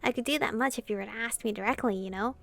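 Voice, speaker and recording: Flirtatious demon king, read by a woman: light, conversational, highish with vocal fry, visual novel dialogue. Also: rapid-fire. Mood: teasing. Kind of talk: reading translated visual novel dialogue aloud.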